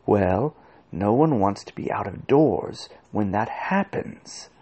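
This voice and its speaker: A man voicing a furtive, fearful, clever man in an audiobook, with a hushed and hesitant manner. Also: on the low side, expressive, takes it slowly, slightly rough, full character voice. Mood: furtive, anxious. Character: furtive, fearful intelligent man, muttering secrets. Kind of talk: audiobook character voice.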